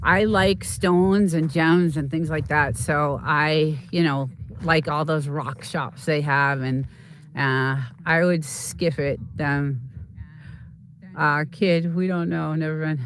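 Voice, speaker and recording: A woman's voice: sits low, fairly even intonation, slow pace, fairly bright tone. A woman host chatting casually and informally on a livestream, rambling and often repeating herself as she talks to viewers.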